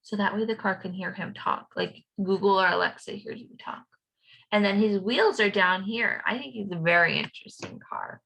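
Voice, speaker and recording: A woman speaking to children as a teacher, explaining a craft activity in an animated, simple way. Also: medium pitch, moderate pace, gravelly, poor audio. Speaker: teacher reading aloud and leading a children's activity. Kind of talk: children's storybook read-aloud and craft instruction.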